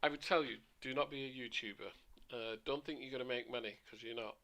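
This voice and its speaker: A man streaming a flight simulator, chatting casually with rambling asides, his voice a little hoarse. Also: mid-range voice, fairly even intonation, fast, sharp tone. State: slightly hoarse. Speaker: flight sim livestreamer. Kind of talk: casual livestream commentary.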